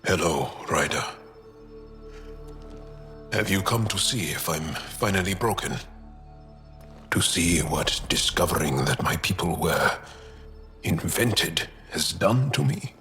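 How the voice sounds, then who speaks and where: Game alien: low-voiced, contemplative, awestruck yet calm, measured delivery, music underneath. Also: male voice, full character voice. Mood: wry calm. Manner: measured, reflective, deliberate pauses. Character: calm, awestruck male alien philosopher, thoughtful and hopeful. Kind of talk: video game dialogue acting.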